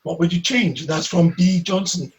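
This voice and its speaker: A man's voice: high voice, normal speed. A man co-hosting a podcast, rambling through stories and reading listener questions. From Wales. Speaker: podcast co-host. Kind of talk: casual podcast chat.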